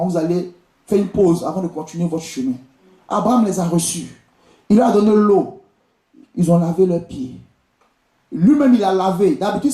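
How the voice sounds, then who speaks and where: A man's voice: high-pitched, mellow. A man with an Ivorian accent preaching a charismatic church sermon, exhorting the congregation directly and repeating key phrases. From Côte d'Ivoire. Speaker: visiting preacher and prophet. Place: indoor church during a live service. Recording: echoey church hall sound.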